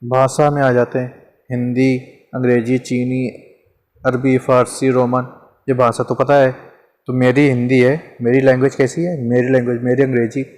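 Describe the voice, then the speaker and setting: A man's voice: medium pitch, little pitch movement, moderate pace, darkish tone. A man teaching a Hindi grammar lesson in a casual, chatty way, asking questions and answering them himself. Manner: conversational, repeats examples, asks and answers himself.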